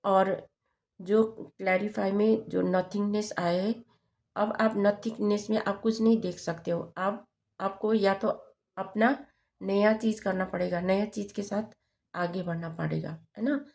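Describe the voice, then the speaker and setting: A woman reading tarot cards to camera, hoarse from a sore throat, speaking haltingly with many fillers. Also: medium pitch, fairly even intonation, darkish tone. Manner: slow, halting, many fillers and tag questions. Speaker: tarot reader.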